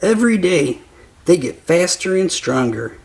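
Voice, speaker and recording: Male storybook narrator, unhurried pace, very animated intonation, scripted read-aloud for kids. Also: sits high, vocal fry. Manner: simple, deliberate phrasing for young listeners.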